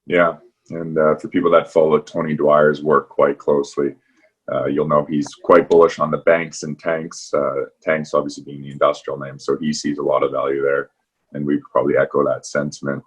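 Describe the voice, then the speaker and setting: A man's voice: extremely low pitch, level tone, normal speed, husky. A man hosting a semi-formal investment conference call. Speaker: portfolio manager hosting the call.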